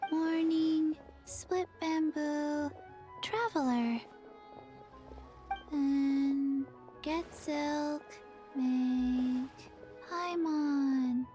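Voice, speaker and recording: A woman voicing a small, solemn, earnest girl in a video game, with a halting, deliberate delivery. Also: sits high, fairly even intonation, music in the background. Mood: earnest, subdued. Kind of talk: video game character dialogue.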